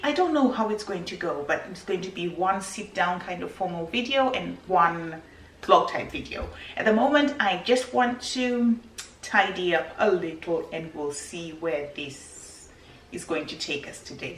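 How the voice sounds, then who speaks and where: A woman's voice: mid-range voice, fairly bright tone. A woman in her thirties from Zimbabwe with a Zimbabwean accent, chatting casually to camera while she tidies at home. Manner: chatty, laughs easily, talks while tidying. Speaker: vlogger. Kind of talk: casual vlog chat to camera. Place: home kitchen, indoors. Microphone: camera on counter, medium distance. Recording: echoey kitchen with faint noise.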